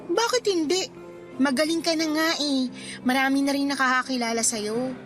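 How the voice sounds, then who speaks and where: A woman playing a modest, contented schoolgirl best friend in a radio drama, speaking in a gently protesting, conversational way. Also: high-pitched, moderate pace, soft background music. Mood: concerned.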